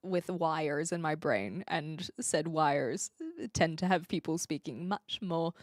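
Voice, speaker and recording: A woman's voice: deep voice, takes it slowly, no room sound, clean studio sound. A woman from New Zealand with a neutral mixed Australian-British accent, chatting casually and playfully to livestream viewers with careful articulation. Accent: neutral Australian-British mix. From New Zealand, later Australia and the UK. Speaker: livestream host.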